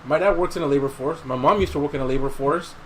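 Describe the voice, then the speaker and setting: A man's voice: on the high side, fast talker, fairly bright tone, slight background noise, low-quality recording. A man hosting a podcast in casual chat, swearing freely and giving his opinions in slangy talk. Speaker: podcast host.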